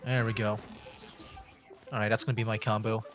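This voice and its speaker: A man's voice: on the low side, flat delivery. Man thinking aloud over a game. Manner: thinking aloud, halting restarts while solving puzzles. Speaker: streamer. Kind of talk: casual gameplay commentary.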